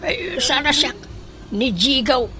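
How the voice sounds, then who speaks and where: A woman playing an excitable, gullible parent in a comedic radio drama, exclamatory and exaggerated, with an Ilocano Filipino accent. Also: high voice, slow pace. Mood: amused. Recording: very noisy background.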